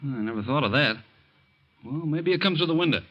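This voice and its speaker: An actor playing a young reformed safecracker who talks earnestly and protests defensively in a 1940s radio drama. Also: male voice, on the high side, animated, a little fast, sharp tone. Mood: gentle. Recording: dull old radio broadcast.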